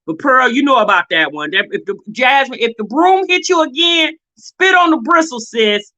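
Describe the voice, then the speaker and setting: A woman's voice: high-pitched, animated, normal speed, pushed and bright. An American woman giving casual, opinionated commentary as a reaction video host, blunt and sassy, often exasperated and firing off rhetorical questions.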